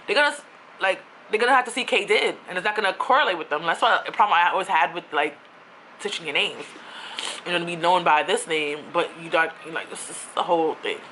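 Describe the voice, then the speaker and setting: A woman's voice: lowish voice, fast talker, slight background noise, rough recording. A young woman from New York, ranting casually on her own vlog in a chatty, slangy way. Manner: chatty, animated, with slang and asides. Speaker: YouTuber.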